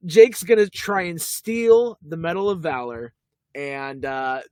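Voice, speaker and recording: A man co-hosts a casual podcast, talking through a TV episode. His voice sits a little high, and he animates his retelling with quick character voices. The sound is dry and close. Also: a little mellow, no echo. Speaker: podcast co-host. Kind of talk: casual chat about a TV episode.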